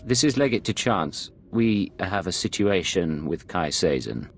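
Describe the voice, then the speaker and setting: A man's voice: deep voice, lively intonation, unhurried, a little hiss or hum. A man playing a cool, confident imperial secret agent in a video game, terse and self-assured. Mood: cool, businesslike.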